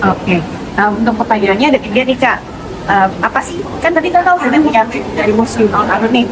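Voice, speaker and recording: A woman's voice: fairly high pitch, brisk, a loud crowd, rough recording. A young woman, a student interviewer, asking questions in an informal, chatty way. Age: young adult. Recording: very loud background noise, vehicles.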